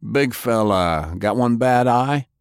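A man voicing a rough, sardonic interrogator who talks in a folksy, taunting rural American way. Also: medium pitch, lively intonation, slow pace, dry recording, clean studio sound, voice pushed up. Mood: menacing, taunting.

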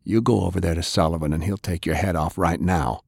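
Casting: male narrator as weary gruff man, deep and subdued, blunt, sad, audiobook fiction. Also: fairly even intonation, brisk, dry recording, clean studio sound, heavily acted.

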